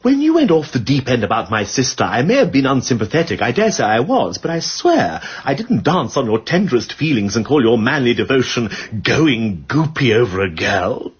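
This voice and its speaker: An actor playing a witty, voluble aristocratic amateur detective in a radio drama, with an upper-class English accent and flippant period slang. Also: male voice, mid-range voice, sing-song, normal speed, few pauses, breathy edge, highs cut off. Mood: indignant.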